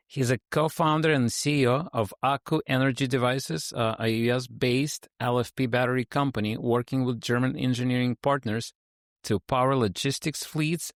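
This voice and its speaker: A man's voice: mid-range voice, dry recording, clean studio sound. A man hosting an interview podcast, reading a polished intro and then chatting with his guest, with many fillers and restarts. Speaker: podcast host.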